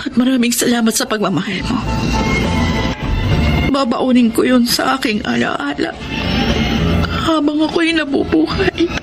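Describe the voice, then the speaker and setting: A woman's voice: sits high, low-quality recording. An actress playing a wounded, regretful woman still in love, in an emotional, pleading Tagalog radio drama style. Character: wounded, regretful woman still loving her former boyfriend. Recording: loud music over studio voice.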